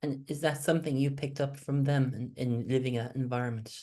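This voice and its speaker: A man's voice: mid-range voice, steady tone, a little fast, darkish tone. A man hosting an interview podcast, introducing his guest with upbeat enthusiasm and asking personal questions. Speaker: podcast host.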